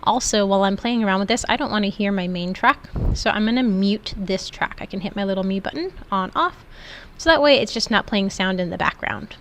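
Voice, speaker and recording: A woman's voice: medium pitch, a little fast, hardly any pauses, fairly bright tone. A woman instructor giving an informal, step-by-step screen tutorial in an explanatory way. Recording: clearly noisy background.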